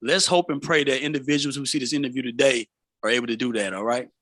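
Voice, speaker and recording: A man's voice: mid-range voice, fast talker, a bit bright, dry recording. A man, hosting an informal motivational interview in a streetwise way, often checking in with a tag question. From San Francisco Bay Area, United States. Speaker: show host, interviewer.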